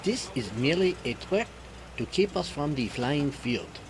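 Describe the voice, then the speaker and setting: An actor playing a courteous, shrewd, self-assured detective with a French accent, speaking precisely and formally in old-time radio mystery style. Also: male voice, fairly high pitch, very animated, unhurried, a little hiss or hum. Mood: urgent, assured. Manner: precise, formal, fond of rhetorical questions.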